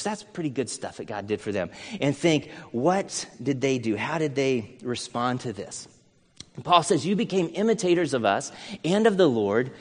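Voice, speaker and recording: A man's voice: medium pitch, darkish tone. Earnest male pastor in reverberant hall. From United States. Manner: earnest, conversational, with storytelling asides. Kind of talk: sermon.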